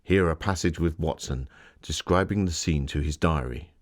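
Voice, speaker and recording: A very low-voiced gentleman author, acted by a man, introduces his own tale for an exhibit. He is genteel and measured, a storyteller setting each scene with care. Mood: impressed, genial. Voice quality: deep. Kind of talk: scripted museum exhibit narration, in character.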